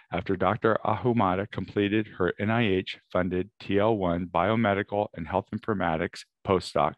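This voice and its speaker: Brief: middle-aged male professor, very deep voice, dry close sound, formal presenter. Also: dry recording. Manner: formal, measured delivery. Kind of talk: prepared award presentation speech.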